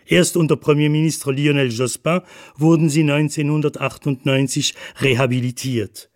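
A man's voice: on the high side, steady tone, moderate pace, dry recording, clean studio sound. A man reading scripted documentary narration for a radio play in a matter-of-fact manner.

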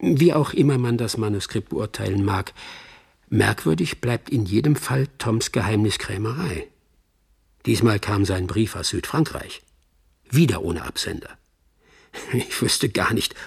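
An actor playing a measured, sceptical middle-aged Hollywood agent who narrates a radio drama, choosing his words deliberately. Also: on the low side, lively intonation, creaky, slightly rough, exaggerated voice. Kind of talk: reflective first-person radio drama narration.

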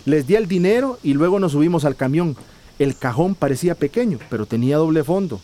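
A man's voice: a little high, expressive, fairly quick, warm tone, slight background noise, close to an everyday voice. An actor playing an anxious, traumatized migrant man in a radio drama, emotional, hesitant and pleading. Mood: somber.

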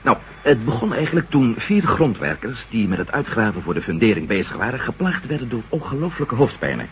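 An actor playing an eager, affable newspaper reporter in a Dutch radio drama, speaking a little hesitantly and repeating himself. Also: male voice, mid-range voice, big pitch swings, fairly quick. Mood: recounting. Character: eager ex-astronaut turned newspaper reporter, affable old friend. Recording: muffled old radio recording, background hiss.

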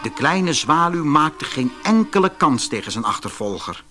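A man narrating a scripted fairy tale audiobook in an expressive, dramatic storytelling manner. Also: fairly high pitch, moderate pace, soft background music.

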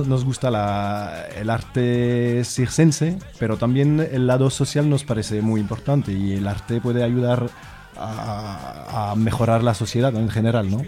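Mellow, hesitant male speaker. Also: mid-range voice. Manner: hesitant, searching for words. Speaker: circus performer and teacher being interviewed. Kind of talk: informal radio interview.

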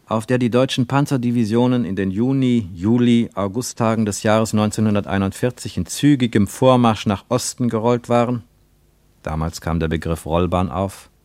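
Low, dry-sounding male literary narrator. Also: normal speed, darkish tone, dry recording. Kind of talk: scripted literary narration for radio.

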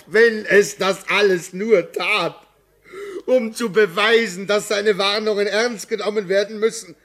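An actor playing a haunted, despairing railway signalman in a halting, brooding manner, in a 1950s German radio drama. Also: sits high, steady tone, a bit bright. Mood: desperate, tearful. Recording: early 1950s radio play recording.

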